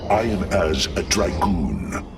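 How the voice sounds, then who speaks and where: A solemn alien warrior in a mechanical body rumbles at an extremely low pitch. The delivery is very slow, formal and grave, with a gravelly edge. It is a game cinematic performance. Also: male voice, animated, heavily acted. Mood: puzzled, grave. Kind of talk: dramatic game cinematic acting. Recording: distant voice under loud music.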